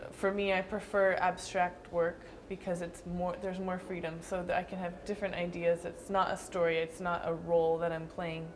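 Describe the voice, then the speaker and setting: Soft-spoken, thoughtful young woman dancer. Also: fairly low pitch, level tone, moderate pace, hardly any pauses, a little hiss or hum. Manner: thoughtful, hesitant with fillers and restarts. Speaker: dancer being interviewed. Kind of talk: casual interview answers.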